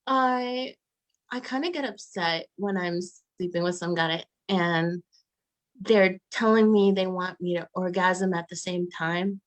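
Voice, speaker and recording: A woman performing a stand-up comedy routine with cocky, teasing delivery and drawn-out pauses. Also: fairly low pitch.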